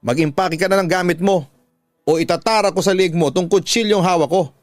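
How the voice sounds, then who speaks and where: A man's voice: sits high, a little fast, dry recording, clean studio sound, faint music behind, voice pushed up, close to an everyday voice. A man with a Filipino accent voicing an angry, defiant grown daughter in a radio drama, confrontational and threatening.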